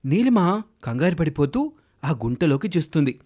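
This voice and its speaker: A man reads a scripted children's tale as its storyteller. His voice sits a bit high and moves about in lively swings of pitch. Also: moderate pace.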